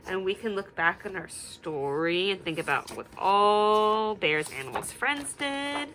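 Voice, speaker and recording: A woman reads aloud to young children as their teacher, pausing to ask and explain. Her voice is bright and very expressive, taken at a slow pace, with faint noise behind it. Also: mid-range voice, rough recording. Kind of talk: children's read-aloud with questions to listeners.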